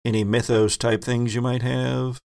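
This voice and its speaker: A man acting as game master, explaining the rules and rulings of a tabletop game in a measured way. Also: mid-range voice, level tone, gravelly, a little mellow, no room sound, clean studio sound.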